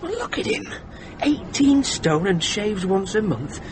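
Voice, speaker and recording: A man's voice: high-pitched, big pitch swings, measured pace. An actor playing a prickly, snobbish salesman who talks in a peevish, sarcastic way in a radio drama. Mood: sneering. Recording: noisy radio drama recording.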